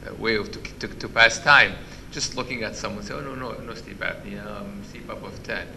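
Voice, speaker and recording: A man with a loud voice giving an interactive medical lecture, quizzing the audience and restarting his sentences often. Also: on the low side, moderate pace, background noise. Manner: questions the audience, many restarts. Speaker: physician lecturer. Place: conference hall.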